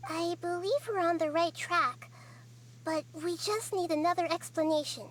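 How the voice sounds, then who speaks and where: A woman voicing a small, wise young goddess who speaks softly and explains things in a measured way. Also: extremely high pitch, slight background noise. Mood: calm. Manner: soft, measured, gently explaining. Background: game music.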